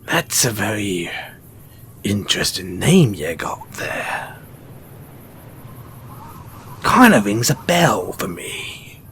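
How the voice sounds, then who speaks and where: Man acting a slow, low-voiced, sly wasteland merchant. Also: very animated, a bit bright, slight background noise, exaggerated voice. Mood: sly curiosity. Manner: slow, insinuating, theatrical, with drawn-out pauses. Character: shrewd, mysterious post-apocalyptic wasteland merchant, a sly man. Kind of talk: audio roleplay character acting addressed to the listener.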